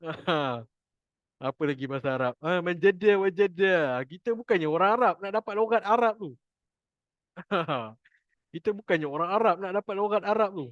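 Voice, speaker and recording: A man's voice: high voice, normal speed, dry recording, dull audio. A man with a Malaysian accent teaching an informal religious class, chatty and jokey, repeating his points for emphasis. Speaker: Quran recitation teacher.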